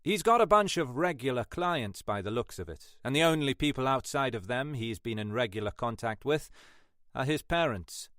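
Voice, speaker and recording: A man's voice: mid-range voice, animated, normal speed, fairly bright tone, no room sound, clean studio sound, pitched above the performer's own voice, lightly acted. A man voicing a cocky young computer expert in an audiobook, with a cut-glass English accent and clipped manner. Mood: matter-of-fact. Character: cocky skinny young male computer forensics whiz, twenty-six.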